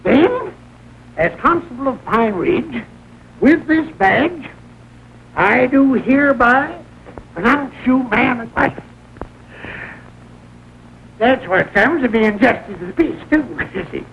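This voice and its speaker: Very high-pitched, creaky small-town official. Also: male voice, animated, slow pace, long pauses, heavily acted. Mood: pompous, solemn. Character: jolly bumbling small-town justice of the peace and constable. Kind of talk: 1940s film comedy acting. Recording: dull, noisy old film soundtrack.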